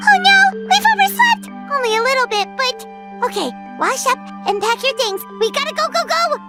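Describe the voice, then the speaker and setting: A woman voicing a tiny, hungry, excitable fairy companion girl in a game, chirpy and speaking of herself in the third person. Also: moderate pace. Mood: panicked. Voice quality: very high, squeaky. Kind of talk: game voice acting. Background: game music.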